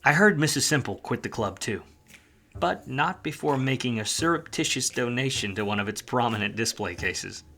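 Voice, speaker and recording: An actor playing a wry, world-weary hardboiled private detective in a 1940s film noir radio play, full of slang. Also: male voice, mid-range voice, lively intonation, normal speed, pushed and bright, slight background noise. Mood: wry, reflective.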